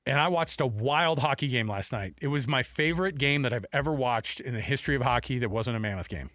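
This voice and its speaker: A man's voice: medium pitch, quick pace, a bit bright, no room sound, studio quality. A man hosting a sports radio show in casual talk, often acting out imagined quotes.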